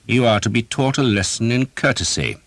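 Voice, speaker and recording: A man's voice: medium pitch, animated, fairly quick, a bit bright, no room sound, pitched above the performer's own voice. A man voicing a cruel, arrogant knight who gives cold, contemptuous orders.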